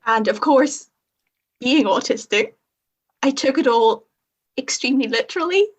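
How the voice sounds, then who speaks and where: A woman's voice: high-pitched, expressive, a little slow. A young woman with a Northern Irish accent, a podcast guest frankly telling her own story with self-mocking humor. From Northern Ireland. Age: young adult. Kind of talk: casual conversation.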